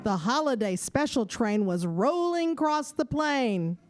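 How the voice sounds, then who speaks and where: A woman's voice: on the high side, animated, measured pace, loud music behind the voice. A woman narrating a rhyming children's story in an audio theater style.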